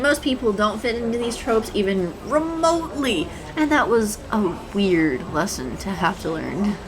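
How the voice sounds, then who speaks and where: A woman's voice: mid-range voice, noisy background, close to an everyday voice. A woman playing a shy, anxious young woman in an audio roleplay, rambling nervously and self-consciously to a stranger. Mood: shy, amused. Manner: nervous, hesitant, rambling, self-deprecating, giggly. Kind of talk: intimate audio roleplay addressed to the listener. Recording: coffee shop chatter behind voice. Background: coffee shop crowd sound effects.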